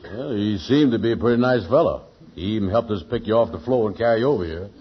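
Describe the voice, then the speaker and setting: An actor playing a blunt, slow-witted pal in a vintage radio sitcom, speaking in folksy nonstandard grammar. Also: male voice, on the low side, expressive, natural voice. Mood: matter-of-fact. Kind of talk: 1940s-50s radio sitcom acting. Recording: muffled, noisy vintage radio recording.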